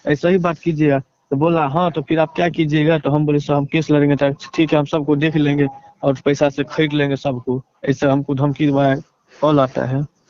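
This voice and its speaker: A man with a Bihari accent giving a halting, deferential account in a phone interview, leaning on repeated filler phrases. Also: fairly high pitch, little pitch movement, darkish tone. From Bihar, India. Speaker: interviewee, relative seeking justice. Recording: dull lo-fi phone call.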